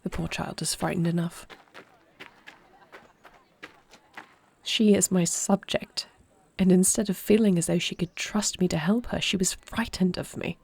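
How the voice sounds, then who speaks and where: A woman's voice: fairly low pitch, steady tone, moderate pace, vocal fry, a little mellow, breathy edge. A woman playing a proud, dutiful young-married warrior princess who can shift into a dragon, speaking formally in a scripted audio roleplay. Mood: troubled, resolute. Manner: formal, regal phrasing. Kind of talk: scripted audio roleplay acting, one side of a dialogue.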